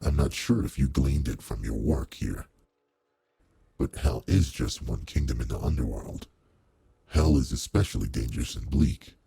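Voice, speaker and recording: A man voicing a suave, teasing aristocratic demon lord in an intimate roleplay audio drama, with a deep, gravelly, husky voice and a leisurely manner. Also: animated, moderate pace, dark tone, no echo, heavily acted. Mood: reassuring.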